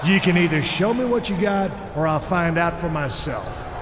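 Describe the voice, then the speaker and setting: Suspicious questioning wrestler, voiced by a man, high voice, roomy reverb. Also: lively intonation, moderate pace, noisy background, close to an everyday voice. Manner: pressing, questioning, growing impatient. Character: suspicious male wrestler, confronting a friend.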